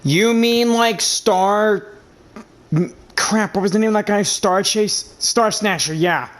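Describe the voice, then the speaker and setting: A woman's voice: mid-range voice, takes it slowly. A woman doing casual playthrough commentary, reading game dialogue choices aloud as she plays. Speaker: gamer reading out dialogue choices while playing.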